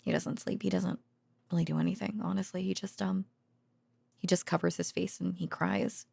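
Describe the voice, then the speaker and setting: A woman playing a gentle researcher girlfriend in an ASMR bedtime roleplay, murmuring haltingly in a soft, breathy voice that often drops to a whisper. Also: on the low side, fairly even intonation, exaggerated voice. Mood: soft, sympathetic. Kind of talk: intimate ASMR audio roleplay, conversational bedtime monologue.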